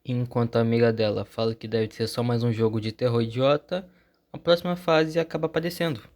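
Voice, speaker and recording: A man's voice: mid-range voice, steady tone, fairly quick, darkish tone. A man with a Brazilian accent telling a story as a channel host, in an informal scripted narration.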